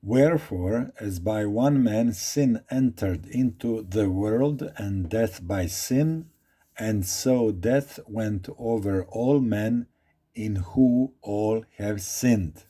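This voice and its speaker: A man's voice: on the low side, takes it slowly, warm tone. A man with a Croatian accent, a native Croatian speaker, giving an informal Bible study talk, reading verses aloud and repeating phrases as he explains them. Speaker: Bible teacher.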